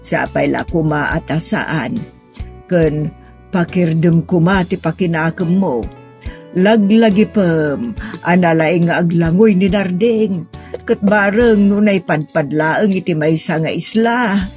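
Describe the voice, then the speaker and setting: Woman playing patient mother, lowish voice, slow measured delivery, earnest, consoling, audio drama. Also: a little mellow, soft background music. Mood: gently consoling. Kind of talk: sentimental audio drama acting.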